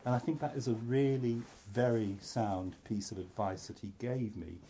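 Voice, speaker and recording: A middle-aged psychiatrist from North Wales giving a candid, self-deprecating talk to an audience, full of restarts and asides. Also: male voice, medium pitch, fairly even intonation, normal speed, mellow, slightly rough.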